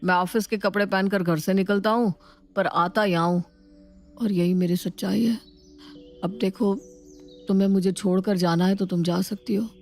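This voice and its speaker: A man's voice: high voice, fairly even intonation, moderate pace, a little mellow, faint music behind. A man playing an earnest, defensive young Indian husband in a family story, explaining himself at length. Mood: earnest confessing. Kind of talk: scripted Hindi family story dialogue.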